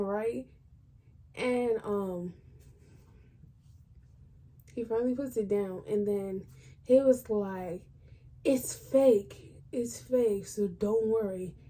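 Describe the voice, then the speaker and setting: A woman's voice: medium pitch, dark tone. A woman vlogger telling a personal story in casual, colloquial speech, acting out what people said. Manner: informal, colloquial phrasing.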